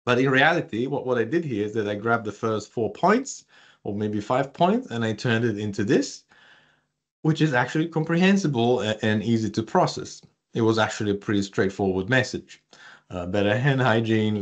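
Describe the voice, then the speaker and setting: A man with an Italian accent leads a live online workshop over a video call. His voice sits a little low, and he talks in a loose, chatty way full of fillers and restarts, joking with his audience as he goes. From Italy. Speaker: research communication presenter.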